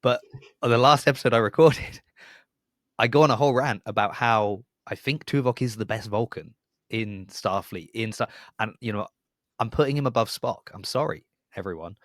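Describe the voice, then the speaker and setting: A man's voice: medium pitch, normal speed, dry recording, clean studio sound. A man hosting a podcast in casual fan discussion, jokey and given to voicing imagined remarks. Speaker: podcast host.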